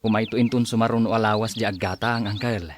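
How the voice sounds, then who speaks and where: An actor playing a modest, hardworking farmer who speaks politely and chuckles often. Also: male voice, lowish voice, steady tone, quick pace, slight background noise, natural voice. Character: humble hardworking young farmer. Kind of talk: audio drama acting.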